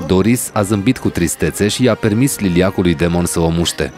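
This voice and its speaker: A man's voice: low-pitched, darkish tone, soft background music. A man narrating a scripted fairy tale as storyteller.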